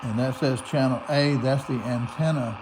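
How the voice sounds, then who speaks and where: A man's voice: mid-range voice, fairly even intonation, moderate pace. Man over noisy radio static. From United States. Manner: unscripted, narrating each step as he goes. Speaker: radio hobbyist demonstrating a receiver. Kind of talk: casual hands-on tutorial. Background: radio static and noisy broadcast.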